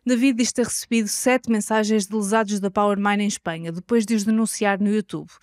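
Reporter voice: woman, European Portuguese accent, measured scripted narration, dry close mic. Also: mid-range voice, steady tone, a little mellow, no echo.

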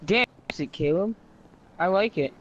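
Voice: mid-range voice, expressive, normal speed, highs cut off, slight background noise. A player improvising casual roleplay while gaming, talking over a headset voice chat.

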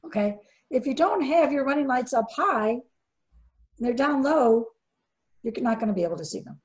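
A woman's voice: high voice, lively intonation, normal speed. An older woman presenting a sailing webinar over a video call, emphatic and quizzing her audience, often repeating key words for stress.

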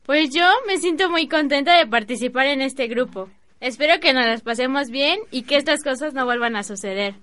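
A woman's voice: sits high, moderate pace, a bit bright. A woman playing an eager, outspoken teenage girl in a radio drama. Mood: cheerful.

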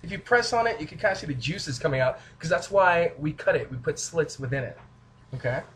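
A man's voice: a little high, animated, brisk, fairly bright tone. Young male home cook, goofy and chatty, talking to a camera across a small kitchen with faint background noise. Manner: goofy, rambling, talks to camera. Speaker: home cooking vlogger. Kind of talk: casual cooking demo, joking asides. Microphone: camera mic from a few feet away.

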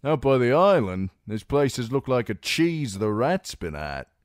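A man doing a gruff, blunt scout. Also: medium pitch, big pitch swings, measured pace, dry recording, studio quality, voice pushed up. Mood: grumbling. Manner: grumbling, coarse. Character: gruff noseless male scout, blunt commoner.

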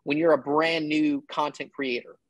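A man's voice: on the high side, fairly even intonation, moderate pace, no room sound, highs cut off. Blunt, emphatic man on a dry call. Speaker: business coach giving advice. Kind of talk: casual one-on-one advice call. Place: indoor room, video call. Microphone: video call.

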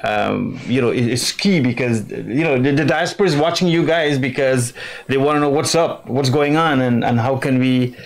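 A middle-aged man from New York City answers questions as a studio guest. He talks in a mid-pitched voice, circling his points with lots of fillers and restarts. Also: normal speed. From grew up in New York City. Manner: rambling, many fillers and restarts. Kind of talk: interview answers. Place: TV studio with virtual set.

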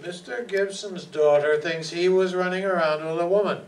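A man's voice: sits high, fairly even intonation, moderate pace. An older man playing a wisecracking, breezy, tough private detective in old-time radio style. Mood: probing, wry. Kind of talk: 1940s radio acting, with narration. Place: meeting room stage before an audience. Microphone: stand microphone, filmed from far back. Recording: distant room mic, echoey, noisy.

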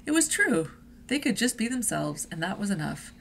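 Creaky-voiced woman reading a picture book. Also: mid-range voice, normal speed, a little hiss or hum. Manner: expressive storytelling with chuckles and playful asides. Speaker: adult reader leading a children's read-aloud. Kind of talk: picture book read-aloud.

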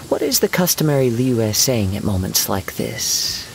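A man voicing a gentle, serene young wandering poet swordsman in a game, with measured, formal, poetic phrasing. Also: medium pitch, creaky. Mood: gentle, warm. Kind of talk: scripted game dialogue, storytelling. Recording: steady rain noise behind voice.

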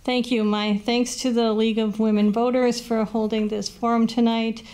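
A woman in her sixties, an incumbent councilor, giving an earnest, measured closing statement at a candidate forum, seated at a table microphone in a small meeting room. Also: a little high, little pitch movement, a little mellow. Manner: earnest, measured, with a brief laugh at running out of time. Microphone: table microphone on a stand.

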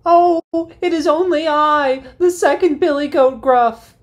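Woman putting on a nervous squeaky billy goat. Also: a little slow. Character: middle-sized nervous male billy goat.

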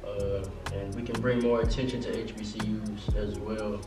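A young man hosting a casual group Q&A with students, relaxed and full of fillers, in a lounge. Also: fairly low pitch, moderate pace. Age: young adult. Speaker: host. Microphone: far camera mic. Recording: echoey room from far off, with music.

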